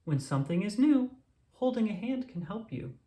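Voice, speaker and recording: A narrator voicing a gentle, reassuring mother tiger in a children's storybook. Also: mid-range voice, big pitch swings, moderate pace, roomy sound, voice pushed up, lightly acted.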